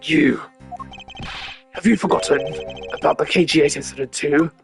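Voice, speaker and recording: An angry lawman from a video game, acted out by a woman, low in pitch. He is forceful and confrontational, breaking his demands into halting bursts over game music. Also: creaky. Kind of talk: game character voice acting.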